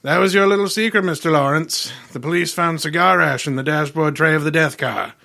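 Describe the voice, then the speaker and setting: A grandiloquent male detective of old-time radio, acted out by a man, with a forceful, highish voice. He is erudite and self-satisfied, fond of his dinner, and lays out each phrase with theatrical deliberation. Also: a little fast, vocal fry. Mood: accusing, triumphant. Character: pompous, erudite, gluttonous male genius detective.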